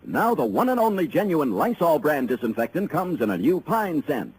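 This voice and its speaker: Very animated male radio announcer. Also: a little high, moderate pace. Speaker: commercial announcer. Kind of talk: scripted 1950s radio advertisement. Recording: slightly noisy old radio recording.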